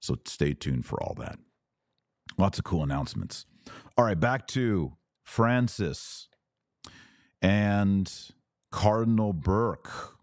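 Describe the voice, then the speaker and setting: Deep-voiced American man hosting a podcast. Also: lively intonation, takes it slowly, pauses often, darkish tone, no room sound, studio quality. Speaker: podcast host. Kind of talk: casual religious commentary, answering listener comments.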